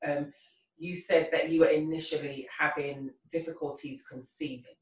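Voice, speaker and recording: A woman hosts a podcast interview, chatty and quick to ask follow-up questions. She sits back from the mic, so her voice sounds distant. The room adds a noticeable echo. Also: low-pitched, fairly even intonation. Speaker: podcast host. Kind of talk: casual interview.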